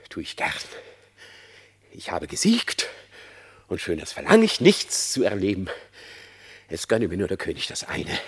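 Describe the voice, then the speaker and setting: A sly fox speaks in a very deep, husky voice that swings lively up and down. He is smooth-tongued and ingratiating. He is the trickster of a radio play. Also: exaggerated voice. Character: sly, triumphant male fox.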